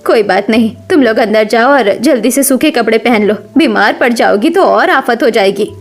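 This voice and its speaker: A woman's voice: a little high, moderate pace, faint music behind, pitched below the performer's own voice. A woman voicing an elderly, worried mother-in-law who scolds with care in a family cartoon. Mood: fretful.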